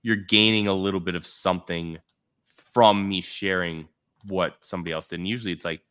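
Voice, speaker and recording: A man's voice: low voice, fairly even intonation, brisk, sharp tone, clean tone. A man hosting a podcast, talking in a laid-back, loose way with frequent fillers. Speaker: podcast host. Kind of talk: casual chat.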